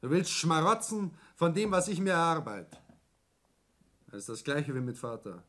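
An actor playing a brusque, businesslike elder son in a radio play, curt and commanding. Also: male voice, a little high, big pitch swings, moderate pace, a bit bright. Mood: cold, pressing. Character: brusque, businesslike, domineering elder son taking over family firm. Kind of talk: 1960s German radio play acting.

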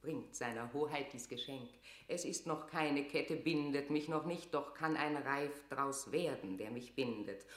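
An actress playing a proud, commanding queen in a classical radio play, with regal, measured, declamatory delivery. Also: a bass voice, reverberant. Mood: regal composed.

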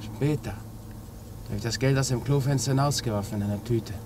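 A man's voice: mid-range voice, brisk, lightly acted. An actor playing a cornered male criminal confessing to an inspector in a 1950s radio crime drama. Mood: matter-of-fact confessing. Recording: noisy old 1950s radio recording.

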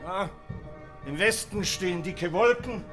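Brief: gloomy castle guard, high voice, brooding, radio drama with music. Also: male voice, unhurried. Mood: foreboding. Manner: brooding, theatrical. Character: gloomy castle night watchman, pitying and suspicious. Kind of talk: German radio play acting.

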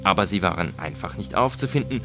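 A man voicing a cunning, vengeful gentleman in a story, flattering and coaxing with feigned concern for a friend. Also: low-pitched, quick pace, over music. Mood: sly, baiting. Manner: flattering, falsely solicitous, coaxing. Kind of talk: dramatised audiobook reading.

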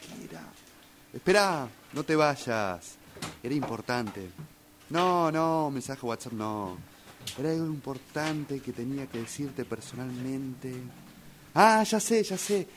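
A man's voice: medium pitch, lively intonation, slow pace, a little hiss or hum. An Argentine man performing an acted dialogue exercise in a radio drama workshop.

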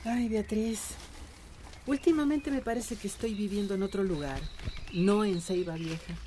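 An actress playing a gentle, hesitant village gardener in a radio drama. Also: female voice, mid-range voice, normal speed, a little hiss or hum, lightly acted. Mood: mild, concerned. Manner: mild, homely, agreeable.

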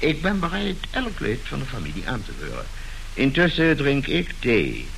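A man's voice: mid-range voice, wildly swooping pitch, moderate pace, vocal fry, a bit bright, full character voice. An actor playing a pompous, impatient middle-aged businessman father in a radio play, speaking officiously as if chairing a board meeting. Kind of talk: Dutch radio play acting. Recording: noisy old radio drama recording.

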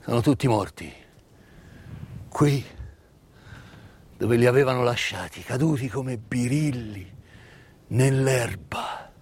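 A man performing a dramatic theatrical monologue, intense and emphatic, with repeated words and pauses. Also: medium pitch, darkish tone, slightly rough. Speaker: stage actor-narrator. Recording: live stage performance with music. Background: live music.

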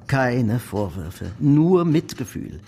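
An actor playing an ashamed, soft-hearted bankrupt son in a German radio play, halting and confessional. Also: male voice, mid-range voice, sing-song. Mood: ashamed, emotional.

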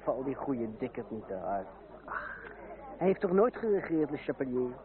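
A man's voice: medium pitch, big pitch swings, normal speed, close to an everyday voice. An actor playing a cool, sardonic young Frenchman in a radio play, speaking with cultivated theatrical irony. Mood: cool, wry. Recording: tinny, band-limited old radio recording.

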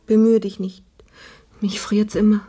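Radio play: frail, ailing young maid, self-effacing, flat and slow, breathy near-whisper. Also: female voice, mid-range voice. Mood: weary, faint. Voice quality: husky, breathy, often falling to a whisper. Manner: slow, subdued, halting. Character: gravely ill young maidservant Marie, weary and self-effacing. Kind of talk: literary radio drama acting.

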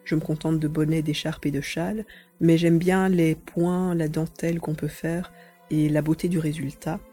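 A woman's voice: low-pitched, little pitch movement, moderate pace, mellow, faint music behind. A woman answering questions casually as an interview guest.

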